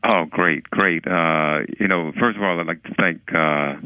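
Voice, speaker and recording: A man calling in to a radio show over the telephone, talking politely and deliberately with halting phrasing. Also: low-pitched, dry recording. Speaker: caller to a radio phone-in. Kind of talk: phone-in conversation. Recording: narrow tinny phone line, dry.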